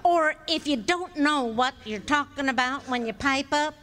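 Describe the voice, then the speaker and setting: A woman's voice: high-pitched, moderate pace, fairly bright tone, slight background noise. A woman playing a patient, dryly witty middle-aged wife in a live radio play. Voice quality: dry, teasing.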